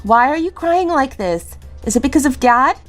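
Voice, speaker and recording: A woman plays a worn-out grown daughter in an audio drama, with a high voice. She sounds fretful, pleading and exasperated over faint music. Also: animated, moderate pace. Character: exhausted, exasperated grown daughter and young mother. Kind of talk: dramatic dialogue.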